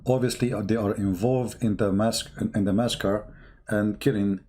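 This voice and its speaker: A man's voice: lowish voice, fairly even intonation, normal speed, darkish tone. A man who speaks English as a second language, giving impassioned off-the-cuff news commentary in an emphatic, repetitive way full of rhetorical questions. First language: not English.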